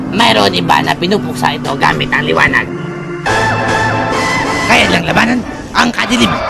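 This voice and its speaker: Dramatic cartoon narrator, played by a man: solemn, very gravelly, fairly high male voice over loud music. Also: exaggerated voice. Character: grave male narrator of a battle of good and evil. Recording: fan dub under loud music.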